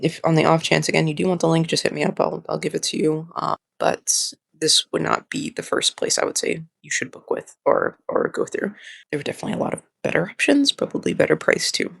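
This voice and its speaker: Young vlogger rambling casually, super croaky fry, bit nasal, really dry recording. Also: mid-range voice, brisk, a bit bright, no room sound. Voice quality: slightly nasal. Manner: candid, informal, repeats and restarts phrases. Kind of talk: casual rambling personal vlog.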